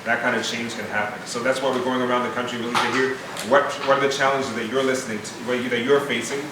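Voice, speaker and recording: A man's voice: mid-range voice, flat delivery, quick pace. A man born in Haiti and raised in Montreal, speaking earnestly to a youth audience as a foundation founder, often restarting his phrases. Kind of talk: informal speech to a live audience. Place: event room with an audience. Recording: echoey room, distant mic, noisy.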